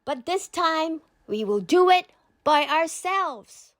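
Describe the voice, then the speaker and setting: A woman voicing an earnest, determined teenage girl activist giving a measured, declamatory speech in a read-aloud storybook. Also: extremely high pitch, expressive, fairly bright tone, pitched above the performer's own voice, heavily acted. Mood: emphatic, determined.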